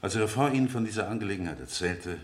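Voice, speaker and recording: An actor playing an insistent defense lawyer in a radio play, questioning witnesses in a deliberate, rhetorical way. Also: male voice, fairly low pitch, lively intonation, lightly acted. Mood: probing, measured. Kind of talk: 1970 German radio play acting.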